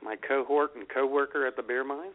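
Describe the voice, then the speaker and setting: A man's voice: mid-range voice, steady tone, brisk. Easygoing man on a phone-like radio link. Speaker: radio show co-host. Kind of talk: casual comedic banter. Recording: thin phone-like internet radio audio.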